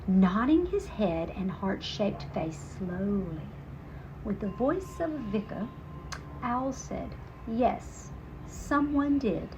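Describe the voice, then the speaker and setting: A woman's voice: mid-range voice, sing-song, takes it slowly, background noise. A woman reading a storybook aloud to children as a teacher, with asides to her young listeners.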